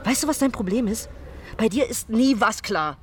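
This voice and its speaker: A woman's voice: on the high side, animated, moderate pace, a little hiss or hum, natural voice. An actress playing a blunt, slangy young woman who is hurt and cutting toward her boyfriend in a naturalistic radio drama. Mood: angry, scornful. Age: young adult.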